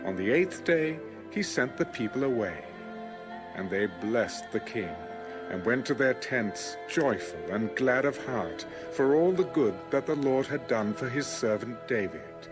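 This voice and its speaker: A man narrating scripture for an audio Bible in a measured, formal, scripted style. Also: mid-range voice, lively intonation. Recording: music under the voice. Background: music.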